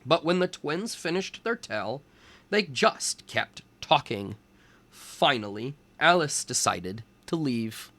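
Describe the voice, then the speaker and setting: A man reading a storybook aloud as a teacher for young children, in deliberate phrases. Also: medium pitch, sing-song, pushed and bright.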